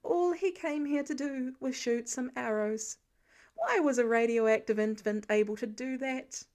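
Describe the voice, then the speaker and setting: High-pitched woman narrating fiction. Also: unhurried, a little mellow. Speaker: amateur audiobook narrator.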